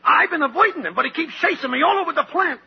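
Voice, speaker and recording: A man's voice: extremely high pitch, animated, fast talker, gravelly, exaggerated voice. A man playing a whining, hapless, panicky American factory worker in a 1940s radio comedy. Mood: panicked. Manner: whining, agitated. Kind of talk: 1940s radio sitcom acting. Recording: dull, noisy 1940s radio recording.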